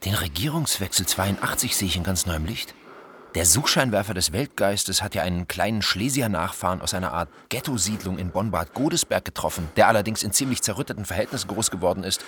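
Manischer Schriftsteller, lebhaft schwankende Stimme. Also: male voice, low voice, lively intonation, fairly quick, a bit bright, slight background noise. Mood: grandiose, agitated. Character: agitated, grandiose, paranoid male writer in mania. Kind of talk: literary radio drama monologue.

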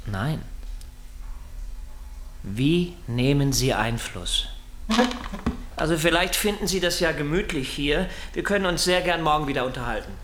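Sardonic interrogator with swooping pitch. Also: male voice, expressive, a little slow, pauses often, a bit bright, a little hiss or hum. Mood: cool, controlled. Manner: probing, sardonic, insistent questioning. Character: masked male captor interrogating his prisoner, mocking, menacing. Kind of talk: German audio drama acting.

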